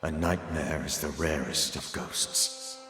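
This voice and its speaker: A sad grave ghost mentor in a video game, real slow n solemn, old-timey formal, echoey. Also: male voice, rumbling low, fairly even intonation, sharp tone, a little hiss or hum, heavily acted. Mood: warning. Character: grave, sorrowful male ghost of a banisher mentor. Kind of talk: dramatic video game cutscene acting. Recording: reverberant ghostly echo, music behind.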